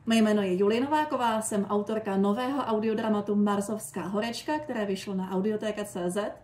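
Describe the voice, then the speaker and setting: A woman's voice: medium pitch, steady tone, darkish tone. A woman hosting a podcast and putting questions to a guest in a conversational interview. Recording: echoey room with faint noise.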